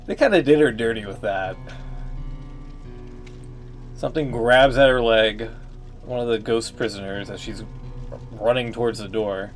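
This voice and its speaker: A man's voice: medium pitch, a little slow, pauses often. A man giving casual live-stream commentary on a game in a blunt, rambling way. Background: faint music.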